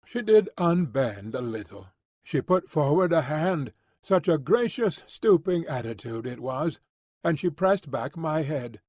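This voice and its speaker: A man narrating an audiobook with measured, expressive storytelling. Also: fairly high pitch, slightly rough, no echo, clean studio sound. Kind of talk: scripted narration.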